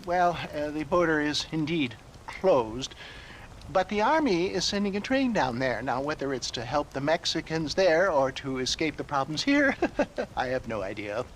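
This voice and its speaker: A man's voice: fairly high pitch, very animated, a bit bright, a little hiss or hum, low-quality recording. A chuckling, florid old con man. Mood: jovial. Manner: chuckles often, flowery speech, drawn-out pauses. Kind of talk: video game cutscene acting.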